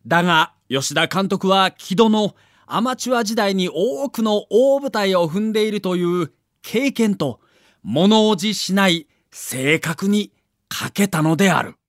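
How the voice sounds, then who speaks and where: A man's voice: high-pitched, lively intonation, measured pace, dry recording, studio quality. A man narrating a scripted documentary as a story, with dramatic emphasis.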